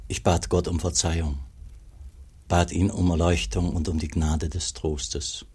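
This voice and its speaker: A sorrowful, devout monk tells his own story in a radio drama. The voice is extremely deep and very husky. The delivery is grave, literary and confessional. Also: a little mellow, heavily acted. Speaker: actor narrating in a radio play. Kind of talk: first-person radio drama narration.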